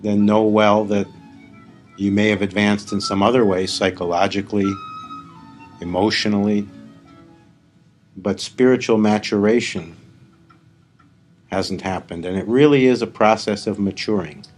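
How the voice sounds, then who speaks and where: A man leading a live guided meditation as a spiritual teacher, speaking deliberately in short phrases with long pauses. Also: on the low side, fairly even intonation, faint music behind.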